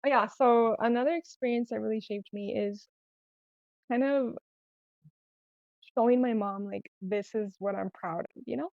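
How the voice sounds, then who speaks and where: A woman with a fairly high voice and Spanish as her first language chats candidly as a podcast guest. She tells personal stories in a casual way, acting out little quotes as she goes. The sound is a little dull. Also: moderate pace, vocal fry, pauses often. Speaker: podcast guest, small business owner. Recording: roomy, echoey, slightly dull sound.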